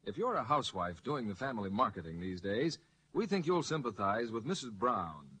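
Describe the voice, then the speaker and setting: A man's voice: lowish voice, very animated, a little fast, sharp tone, husky. A man announcing in scripted 1940s radio style. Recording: noisy 1940s radio broadcast.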